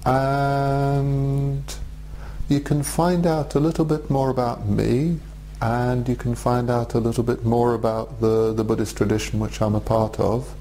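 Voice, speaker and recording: A man in his forties with an English accent, born in Russia, giving a measured, hesitant video address as a Buddhist teacher. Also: mid-range voice, level tone, warm tone, slight background noise. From Russia, raised in England. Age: 40s. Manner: measured, thoughtful, many hesitations. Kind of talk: direct-to-camera video address.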